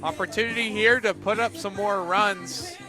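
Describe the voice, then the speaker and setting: A man doing live radio play-by-play of a softball game in short, present-tense calls that lift on the plays. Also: high-pitched, steady tone, normal speed, music in the background.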